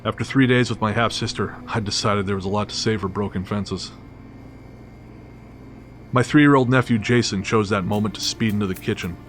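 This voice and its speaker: Man, low-pitched, wry, matter-of-fact, scripted narration, background music. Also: moderate pace. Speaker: storyteller narrator. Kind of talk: scripted first-person story narration. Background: clearly audible music.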